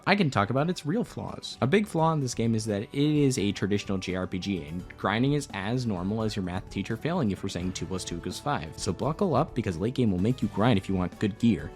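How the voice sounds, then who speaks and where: A man with a fairly low voice narrates a casual video review. His delivery is sardonic and sweary, moving freely up and down in pitch. Faint music plays behind him. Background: background music.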